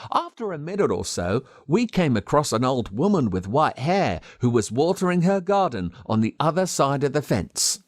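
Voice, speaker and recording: An Australian man reading a scripted children's story as narrator, with dramatic, expressive delivery. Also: a little high, moderate pace, hardly any pauses, no room sound, clean studio sound. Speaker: audiobook narrator.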